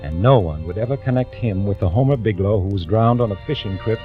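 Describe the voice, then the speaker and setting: An actor playing a scheming, politely nervous impostor in the style of a mid-century radio suspense drama. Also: male voice, on the low side, quick pace, close to an everyday voice. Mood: calculating. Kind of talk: 1940s-50s radio acting, dialogue and first-person narration. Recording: muffled old radio recording, loud music.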